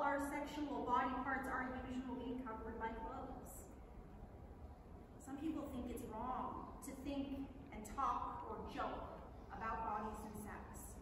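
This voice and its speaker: A young woman reading an educational book aloud on stage, with deliberate phrasing and emphatic pauses. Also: high voice. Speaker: stage reader. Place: theatre stage, seated on the floor. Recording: echoey theatre from far off, noisy.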